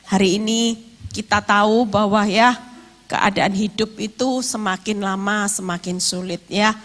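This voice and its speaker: A middle-aged woman with an East Javanese Indonesian accent preaching a sermon emphatically, her voice slightly hoarse. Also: medium pitch, fairly bright tone. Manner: emphatic, with rhetorical questions and acted-out quotes. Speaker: evangelist, guest preacher.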